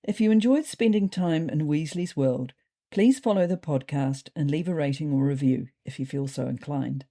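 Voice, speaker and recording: A woman's voice: sits low, normal speed, warm tone. A woman reading scripted comic narration for a story podcast.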